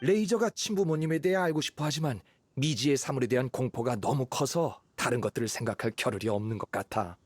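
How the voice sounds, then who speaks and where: A man's voice: medium pitch, husky, natural voice. A man as a kindly fatherly hunter. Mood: concerned, reflective. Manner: measured, reassuring, explanatory. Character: kindly fatherly middle-aged hunter, steady and considerate. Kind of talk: anime game voice acting.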